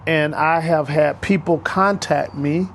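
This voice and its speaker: Man, fifties, porch vlogger; gravelly, slightly high voice, preachy testimony. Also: fairly even intonation, takes it slowly, fairly bright tone, a little hiss or hum. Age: 50s. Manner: preachy, repetitive, emphatic. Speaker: vlogger and school bus driver trainer. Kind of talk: casual testimony vlog. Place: on his porch outdoors.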